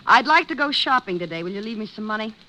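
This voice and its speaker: A woman's voice: mid-range voice, animated, quick pace, sharp tone, a little hiss or hum, rough recording. A woman playing a discontented wealthy wife in an old radio drama, with a theatrical, cutting delivery. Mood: nagging. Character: sharp-tongued, discontented wealthy socialite wife. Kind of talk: 1940s-50s radio drama acting.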